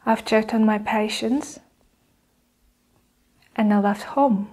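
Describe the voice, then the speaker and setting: A young woman playing a guarded, defensive nurse under questioning in an improvised ASMR roleplay, measured and halting as she justifies herself. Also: medium pitch, fairly even intonation, mellow. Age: young adult. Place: small indoor room with curtain backdrop. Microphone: clip-on lapel mic.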